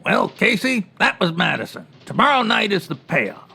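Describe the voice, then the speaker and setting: Man as a creaky, high-pitched newspaperman. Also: moderate pace, soft background music, heavily acted. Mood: eager. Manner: animated, punchy period slang. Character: excitable, fretful old-time newspaperman sidekick. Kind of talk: 1940s radio crime drama acting.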